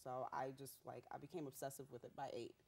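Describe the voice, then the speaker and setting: A young woman rapper from Haiti, a Haitian Creole speaker, telling her story in a bubbly, animated way with street slang. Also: extremely low pitch, fast talker, creaky, slightly rough. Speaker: rapper guest. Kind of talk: casual interview.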